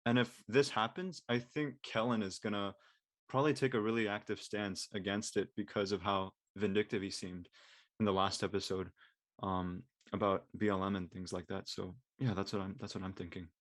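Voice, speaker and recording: A man's voice: lowish voice, steady tone, moderate pace. A man speaking as a cast member in a panel discussion, talking his thoughts through.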